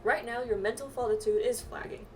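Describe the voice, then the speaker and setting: A woman voicing a worried but steady companion from a fantasy game, earnest and gently admonishing. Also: a little high, a little fast, slight background noise, natural voice. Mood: caring, firm. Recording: distant mic, music behind.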